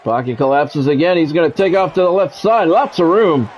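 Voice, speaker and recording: A man's voice: a little high, a little fast, a little mellow, slight background noise. A man doing live play-by-play of a football video game, mixed with blunt, salty, conversational asides. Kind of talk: live sports play-by-play commentary mixed with casual chat.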